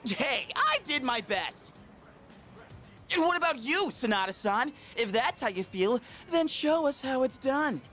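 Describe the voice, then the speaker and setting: An actor voicing an eager, cheeky teenage schoolboy in a video game, excitable and glib. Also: male voice, very high voice, unhurried, soft background music, exaggerated voice. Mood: goading, excitable. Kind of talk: English video game voice acting.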